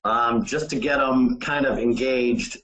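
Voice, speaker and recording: A man giving informal sales training in a halting, conversational way, often saying "you know". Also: mid-range voice, fairly even intonation, a bit bright. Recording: roomy, echoey sound.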